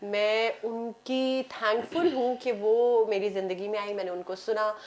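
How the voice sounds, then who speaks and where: A woman's voice: medium pitch, fairly even intonation, a little slow, darkish tone. A Pakistani woman speaking as a guest in a casual talk show conversation, slipping English phrases into her talk. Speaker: guest, voice-over artist.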